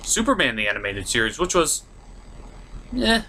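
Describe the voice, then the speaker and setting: A man's voice: medium pitch, very animated, moderate pace, sharp tone, slight background noise. A man hosting a scripted comic review show, speaking in a sarcastic, theatrical way. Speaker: host of a puppet cartoon review show.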